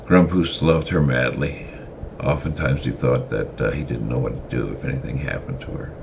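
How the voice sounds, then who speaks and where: A man reading a story aloud in an unhurried way, with frequent hesitant fillers, over faint background noise. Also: rumbling low, creaky, a little mellow. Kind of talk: semi-dramatic story reading.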